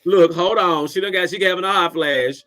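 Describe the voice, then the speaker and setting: A man's voice: high voice, fairly quick, pushed and bright. A man hosting a casual live video chat, sassy and teasing, calling everyone "girl".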